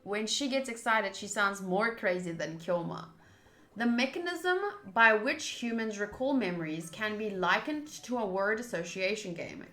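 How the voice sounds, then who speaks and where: A woman's voice: medium pitch, lively intonation, normal speed, a bit bright. Woman streamer in a roomy, echoey space. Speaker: streamer playing a visual novel. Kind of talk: reading game text aloud, casual asides to viewers. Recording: roomy echo, faint game music behind. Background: faint game music.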